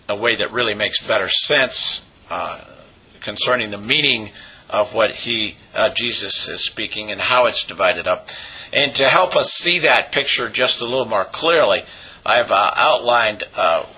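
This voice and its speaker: A man with a raspy voice, hoarse from a cold, preaching a sermon as pastor with many pauses and fillers. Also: on the low side, bright, forceful tone, lo-fi sound.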